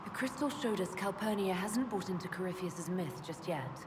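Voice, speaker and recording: A woman playing a brisk, pragmatic adventurer leader in a fantasy video game, direct and wry. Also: lowish voice, expressive, noisy background, natural voice. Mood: serious, probing. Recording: reverberant hall, music behind. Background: music.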